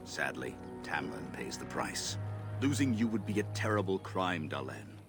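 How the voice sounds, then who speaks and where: An actor playing an old elf storyteller, a grave and gently scolding elder who speaks in a solemn, measured, oratorical way. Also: male voice, sits low, big pitch swings, gravelly, full character voice. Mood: stern, sorrowful reproach. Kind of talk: dramatic storytelling game dialogue. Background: music.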